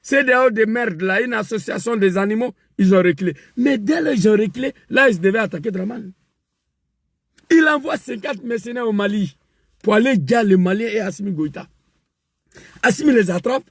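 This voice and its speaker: Husky high-pitched man ranting. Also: brisk, no echo, studio quality. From West Africa. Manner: emphatic, repetitive, rising to shouts. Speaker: political commentator. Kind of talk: impassioned political rant.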